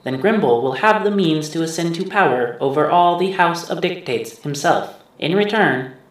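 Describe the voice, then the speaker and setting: A man voicing a computer implant that formally recites stored memories in a measured scripted recap. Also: a little high, lively intonation, close to an everyday voice. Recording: heavily echoing processed voice.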